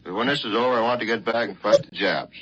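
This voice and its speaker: A man's voice: lowish voice, quick pace, a little hiss or hum. An American man, a military pilot, giving a plain, matter-of-fact account in a 1940s radio interview. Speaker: army fighter pilot, colonel being interviewed. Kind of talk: 1940s radio interview, recounting war experience. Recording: muffled vintage radio with loud crowd behind.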